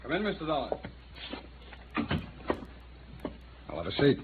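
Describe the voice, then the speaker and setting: A noisy, narrow-band old radio recording of a worldly American male attorney. His lowish voice is wry, hesitant and evasive, with lively intonation. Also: very fast. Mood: wry, knowing. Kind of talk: 1950s radio drama acting.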